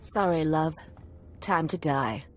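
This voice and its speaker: A woman's voice: low-pitched, takes it slowly, very noisy. An actress playing a cold, taunting female killer in a video game. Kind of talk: video game cutscene acting.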